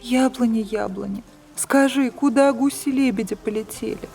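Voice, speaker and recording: A woman's voice: fairly high pitch, normal speed, gravelly, darkish tone, faint music behind, voice pushed up. A woman doing a pleading little girl. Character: young peasant girl, polite and anxious. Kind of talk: storybook reading.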